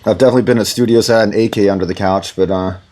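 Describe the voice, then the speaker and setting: A man's voice: lowish voice, racing. A man teaching audio production in a casual, rambling way from a home studio, with many fillers. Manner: rambling, relaxed, lots of fillers. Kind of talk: casual recorded tutorial.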